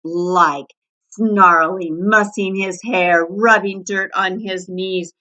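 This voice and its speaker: A woman narrating a children's story aloud in an expressive read-aloud style, on a slightly muffled recording. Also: medium pitch, takes it slowly. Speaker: storybook reader.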